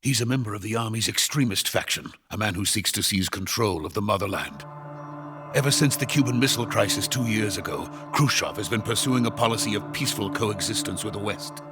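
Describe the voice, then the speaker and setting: A man's voice: on the low side, fairly quick, lightly acted. A man playing an anxious, learned Soviet scientist in a video game, with an earnest, formal way of explaining. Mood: grave, explanatory. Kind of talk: dramatic video game voice acting. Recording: loud music behind the voice.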